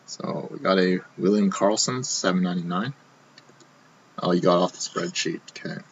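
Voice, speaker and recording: Low-voiced man losing his voice. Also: normal speed, muffled recording, background noise. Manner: casual, running commentary while opening packs. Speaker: card break host. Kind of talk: live-streamed card box break.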